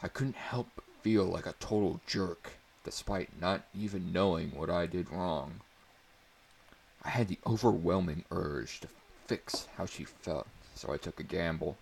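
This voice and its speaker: A man's voice: sits low, measured pace, gravelly, slightly rough. A man reading a story aloud as narrator in a dramatic audiobook style.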